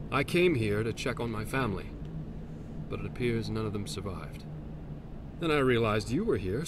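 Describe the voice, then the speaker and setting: Man acting as courteous middle-aged fantasy game nobleman, formal diction, very lively intonation, noisy ambience behind. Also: mid-range voice, close to an everyday voice. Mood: hearty greeting. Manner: formal, warm, deliberate. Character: courteous middle-aged armored nobleman, returned to rebuild his town. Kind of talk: scripted fantasy game dialogue.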